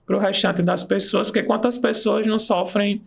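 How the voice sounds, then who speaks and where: A man's voice: high-pitched, little pitch movement, moderate pace, gravelly, fairly bright tone, slightly rough. A man, an aromatherapy practitioner, telling his story with enthusiasm in a casual interview. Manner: enthusiastic storytelling, pace shifts. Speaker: aromatherapist and nurse, guest.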